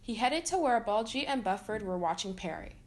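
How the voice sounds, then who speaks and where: A woman's voice: mid-range voice, lively intonation, a little fast. A woman reading a children's picture book aloud as a storytime reader.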